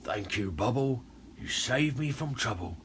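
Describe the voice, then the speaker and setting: A man's voice: mid-range voice, animated, measured pace, lightly acted. A man puts on a big male bull shark from a children's storybook. He is a former bully turned contrite, speaking in a theatrical, imploring way. There is faint noise in the recording. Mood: grateful. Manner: begging, theatrical delivery.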